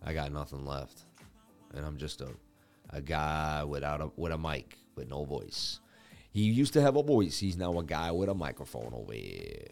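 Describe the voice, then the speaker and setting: Low scratchy-voiced man losing his voice. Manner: animated, chatty, talks to live viewers. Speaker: crypto market livestream host. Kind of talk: live chart analysis commentary.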